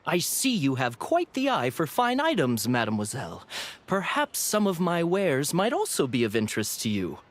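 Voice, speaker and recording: An actor voicing a courteous male toy merchant in a video game, with a polite, flowery sales pitch. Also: wildly swooping pitch, moderate pace. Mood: courteous, boastful. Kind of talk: scripted video game character dialogue.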